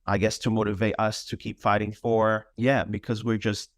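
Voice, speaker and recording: Brazil-born guy in his 30s, portuguese is his first language, lowish voice, lots of likes and ums. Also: flat delivery, normal speed, dry recording, clean studio sound. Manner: thoughtful, with frequent fillers. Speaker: fitness instructor. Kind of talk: casual interview chat.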